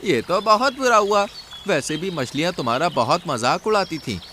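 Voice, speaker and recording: Man as father pigeon, cartoon fable, trusting, earnest, raised pitch, animated delivery. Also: moderate pace, a little hiss or hum. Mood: friendly concern.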